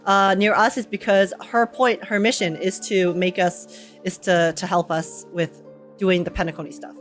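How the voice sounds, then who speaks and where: A young woman streamer giving casual, slangy, excitable live reactions to a game. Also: fairly low pitch, normal speed. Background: game music in background.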